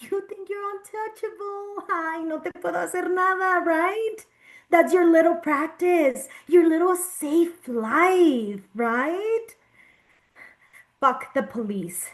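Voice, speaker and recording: Actress as angry female patient, extremely high pitch, erratic, hostile, swearing, Spanish-English mixing, dramatic table read. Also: slow. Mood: furious, agitated. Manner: confrontational, mixes Spanish and English. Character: erratic, hostile, foul-mouthed female patient, distrustful and furious.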